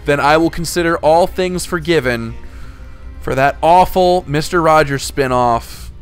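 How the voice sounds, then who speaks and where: A man's voice: on the high side, measured pace, soft background music. A young man hosting a casual podcast, talking in rambling, restart-heavy stretches with some swearing. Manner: rambling, full of restarts and profanity. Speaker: podcast host.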